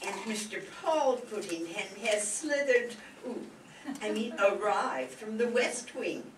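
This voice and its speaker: An actress playing an ancient, dryly sardonic old housekeeper in a radio soap opera parody. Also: female voice, mid-range voice, animated, slow pace. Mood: dry, sly. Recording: distant echoey stage recording, faint noise.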